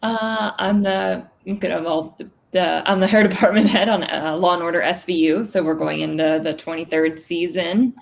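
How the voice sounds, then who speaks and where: Low, gravelly woman panelist. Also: fairly even intonation, moderate pace, few pauses. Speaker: panel guest. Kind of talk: casual online panel chat.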